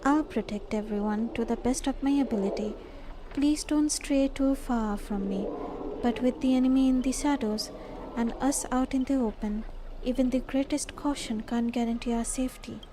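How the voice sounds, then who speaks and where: A woman's voice: fairly high pitch, moderate pace, noisy background. A woman streamer reading a game's story dialogue aloud. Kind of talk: live stream read-aloud of game script. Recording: faint game music behind. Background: faint music.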